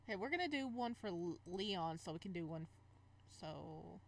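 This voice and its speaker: A woman reading a visual novel aloud and commenting casually as she plays, expressive and reacting out loud, sometimes stumbling over the text. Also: on the low side, a little slow, vocal fry. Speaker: game streamer, let's player.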